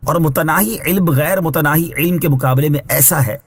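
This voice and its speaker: Husky higher-pitched man narrating religious commentary. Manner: measured, formal, instructive. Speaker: Islamic scholar reading translation and commentary. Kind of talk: scripted religious exegesis narration.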